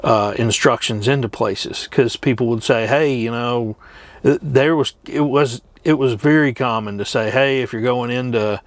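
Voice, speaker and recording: A middle-aged man chatting casually as a trucker vlogger, rambling and reminiscing with frequent hesitant pauses. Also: mid-range voice, no room sound. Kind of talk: casual rambling chat to camera.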